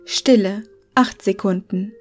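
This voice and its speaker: A woman's voice: medium pitch, takes it slowly, faint music behind. A woman narrating a scripted fictional case file in a formal, report-like manner.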